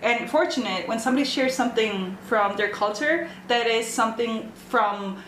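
A woman's voice: on the high side, normal speed, a bit bright. An American woman from the Chicago area, talkative and passionate, chatting casually as an interview guest. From Chicago area, United States. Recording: roomy echo, clear background noise.

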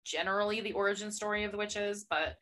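Bright, sarcastic young woman. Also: medium pitch, steady tone, fast talker. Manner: chatty, sarcastic, mimics characters. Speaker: podcast co-host. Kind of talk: casual chat about books.

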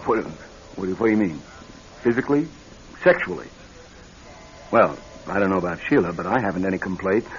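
A dull, band-limited old radio drama recording carries a nervous young executive. He is a lowish-voiced man who talks earnestly and haltingly, with lively swings of pitch. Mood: anxious, defensive. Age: young adult. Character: nervous young married executive troubled by nightmares.

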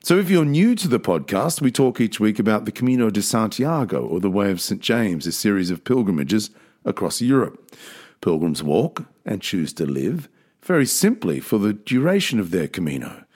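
A man hosting a podcast, talking in a slow, thoughtful, reflective way. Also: lowish voice, sing-song, darkish tone. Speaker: podcast host.

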